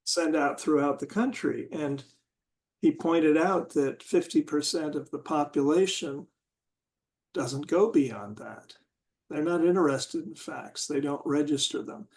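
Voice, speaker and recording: A man in a casual group discussion, talking haltingly and searching for words with many restarts. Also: fairly high pitch, darkish tone.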